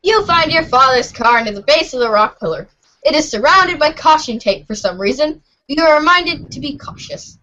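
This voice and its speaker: A woman's voice: sits high, animated, normal speed, bright, forceful tone, a little hiss or hum. A woman reading scripted narration for an amateur reader's theater, playful and mock-serious. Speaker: narrator, regular of the show. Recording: muffled lo-fi home recording.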